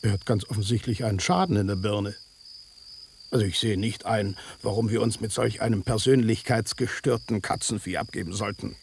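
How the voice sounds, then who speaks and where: An actor playing a cocky, territorial tomcat with a sentimental streak, blunt and bossy, in radio drama style. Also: male voice, fairly low pitch, fairly even intonation, fairly quick, gravelly, a little hiss or hum. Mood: scornful. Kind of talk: German radio drama acting.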